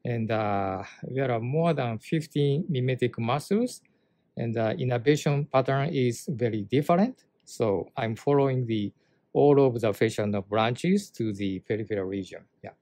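A man, a visiting anatomist, calmly explaining his dissection in brief sentences. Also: medium pitch, normal speed, no echo.

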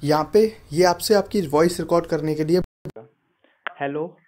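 A man's voice: fairly high pitch, a little fast, a little mellow. A man giving an app tutorial voice-over as a YouTube host, often addressing his viewers as friends.

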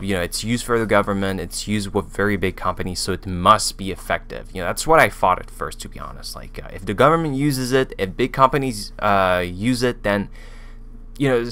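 French-accented man in his thirties, low voice with a bright edge, casual hesitant reviewer on a studio mic. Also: clear, resonant tone. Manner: hesitant, many fillers and restarts. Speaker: language learning YouTuber. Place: small room indoors. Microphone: studio mic with pop filter on boom arm.

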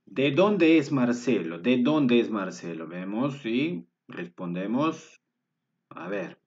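Man, teacher, slow, self-correcting, dull lo-fi sound. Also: mid-range voice, very animated, darkish tone. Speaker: Spanish teacher. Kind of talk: informal lesson commentary over videos. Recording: dull lo-fi voice with faint music.

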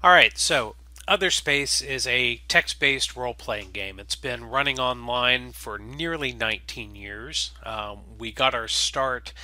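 Bright-voiced man, halting and casual. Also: mid-range voice, dry recording. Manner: halting, with very frequent uhs. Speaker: host and game creator. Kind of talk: casual spoken introduction to an audio log.

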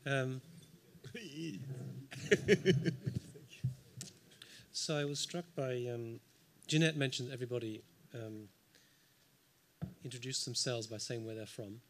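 A man's voice: mid-range voice, takes it slowly, pauses often, a little hiss or hum. A man from the United Kingdom, giving an unscripted panel response in a large lecture hall into a table microphone, thoughtful with frequent ums and dry asides. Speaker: symposium panelist.

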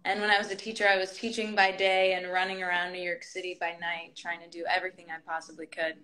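A woman's voice: fairly low pitch, level tone, fast talker, sharp tone, poor audio. A woman in her thirties, a guest singer chatting in an interview over a video call and telling her story in an upbeat, chatty way. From United States. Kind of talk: casual interview chat. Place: indoor room at home. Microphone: video call webcam.

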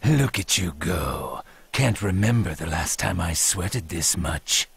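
A man voicing a menacing, battle-hungry shark-man ninja in an English anime dub, gravelly and husky, with a taunting drawl. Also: deep voice, lively intonation, slow pace, very noisy, exaggerated voice. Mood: wry, wistful.

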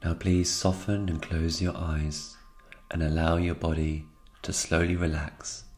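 A man guiding a meditation in a slow, calm and soothing narration, leaving unhurried pauses between phrases. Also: sits low. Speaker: guided meditation instructor.

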